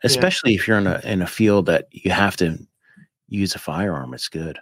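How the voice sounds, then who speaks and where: Rambling low-voiced man in his 50s. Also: fairly quick, no room sound, studio quality. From United States. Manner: rambling, animated, with many fillers. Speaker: podcast guest. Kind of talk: casual chat.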